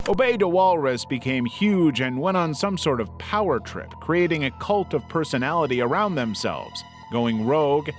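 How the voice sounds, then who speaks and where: A man reading a scripted video essay as its narrator. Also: on the high side. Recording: music under the voice. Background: background music.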